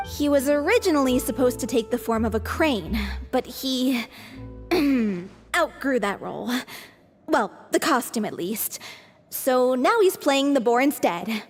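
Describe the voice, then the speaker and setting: A woman playing an earnest, frail but resilient young stage actress in a video game, speaking in a measured, articulate way. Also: sits high, expressive, over music. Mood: dry explaining. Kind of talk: animated video game dialogue.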